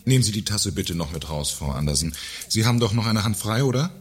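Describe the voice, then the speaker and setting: An actor playing a pompous, irritable, controlling company director in a satirical radio play. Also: male voice, on the low side, expressive, fast, natural voice. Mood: condescending. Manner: pompous, self-important. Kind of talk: German radio play acting.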